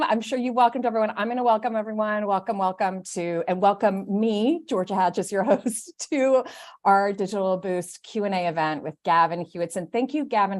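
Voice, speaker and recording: A woman hosts a live stream in an animated, rambling way and jokes at her own expense. Her voice sits at a middle pitch for a woman. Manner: animated, self-deprecating, rambling. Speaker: host.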